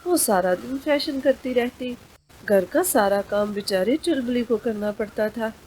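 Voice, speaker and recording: Female children's storyteller, high register, very measured pace, noisy recording. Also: a little mellow. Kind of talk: scripted children's story narration.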